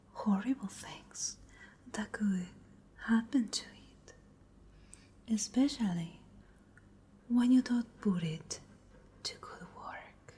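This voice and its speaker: Woman acting a slow whispering cruel vampire lady. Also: mid-range voice, warm tone, full character voice. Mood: mocking, threatening. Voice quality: breathy, mostly whispered. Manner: slow, deliberate, taunting, haughty. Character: cruel, menacing noble lady vampire of a castle. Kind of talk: whispered ASMR roleplay.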